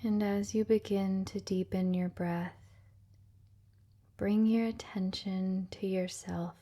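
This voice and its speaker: Female meditation guide: mellow, near-whispered, gentle, very slow and unhurried delivery. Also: medium pitch, fairly even intonation. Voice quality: soft, breathy, often near-whispered. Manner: gentle, soothing, unhurried, with long pauses. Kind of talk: guided relaxation meditation, soft ASMR-like delivery.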